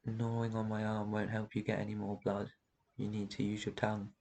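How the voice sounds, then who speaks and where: A man playing a very old, protective vampire master in an intimate roleplay ASMR, speaking gently and reassuringly with tender endearments. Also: on the low side, completely flat delivery, fairly quick, vocal fry. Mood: gentle, encouraging. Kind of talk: roleplay ASMR, intimate one-sided dialogue.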